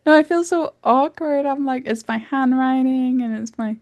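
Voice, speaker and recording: A woman co-hosting a podcast in casual chat, giggly and self-conscious, telling stories with animated voices. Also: high voice, normal speed, dry recording. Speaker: podcast co-host.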